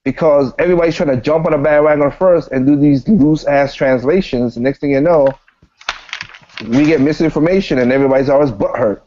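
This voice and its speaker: Guy on a gaming podcast, kinda high voice, slangy and emphatic, repeats himself, muffled lo-fi sound. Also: a little fast, warm tone. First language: not standard American English. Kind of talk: casual opinionated podcast chat.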